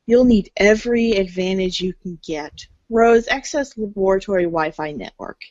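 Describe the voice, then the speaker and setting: A woman's voice: medium pitch, moderate pace, a little mellow, no echo. A woman narrating a read-along storybook in a fan readers' theater. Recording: dull lo-fi audio.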